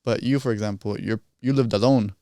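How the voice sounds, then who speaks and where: A man's voice: lowish voice, fairly even intonation, brisk, dark tone, no room sound. A young man from Colombia hosting a casual podcast in informal, slangy talk on a close studio microphone. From Colombia, partly grew up in the United States. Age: young adult. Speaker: podcast host. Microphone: close boom-arm studio microphone.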